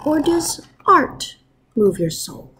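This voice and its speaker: Slow talking woman teacher, kinda high voice, encouraging and expressive, like shes talking or reading to little kids. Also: slight background noise. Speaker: first grade teacher. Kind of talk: warm informal message to pupils and picture-book read-aloud. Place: wood-ceilinged room at home, indoors. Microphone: webcam at arm's length.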